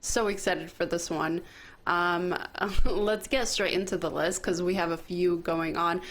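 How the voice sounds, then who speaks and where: A woman's voice: fairly low pitch, fairly even intonation, a little slow, a bit bright. A woman hosting a podcast in a casual, conversational chat-show style. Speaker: podcast host.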